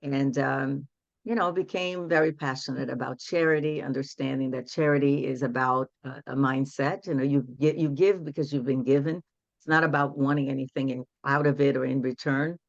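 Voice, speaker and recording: A woman's voice: extremely low pitch, steady tone, normal speed, gravelly, dry recording, highs cut off. A woman in her sixties from Brazil, speaking English as a guest coach in an earnest, reflective way. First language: Portuguese. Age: 60s. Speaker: guest, women's empowerment coach. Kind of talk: casual interview storytelling.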